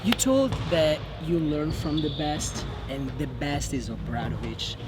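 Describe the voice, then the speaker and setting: A male interviewer who is not a native English speaker, asking casual sports questions in hesitant, halting phrases. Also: fairly high pitch, heavy background noise. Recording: music playing over the voice.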